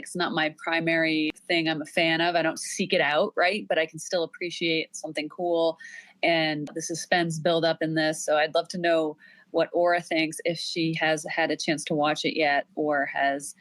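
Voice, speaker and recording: A woman's voice: sits low, level tone, moderate pace, a bit bright, dry recording, low-quality recording. A woman hosting a casual, conversational interview discussion. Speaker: podcast host.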